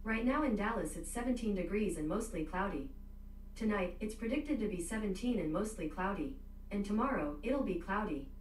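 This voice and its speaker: A female-sounding smart speaker assistant, answering in an even, measured synthetic voice. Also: mid-range voice, distant mic, a little hiss or hum. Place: speaker device in the streamer's room. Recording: smart speaker heard across an echoey room.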